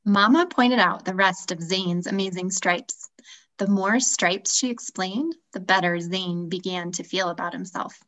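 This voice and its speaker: A woman's voice: mid-range voice, measured pace, fairly bright tone, poor audio. A middle-aged woman reading a children's story aloud over a video call.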